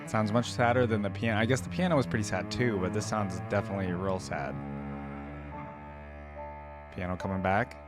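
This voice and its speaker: A man giving casual reaction commentary as a video host, thinking out loud in an informal way. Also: fairly low pitch, steady tone, a little fast, long pauses, loud music behind the voice.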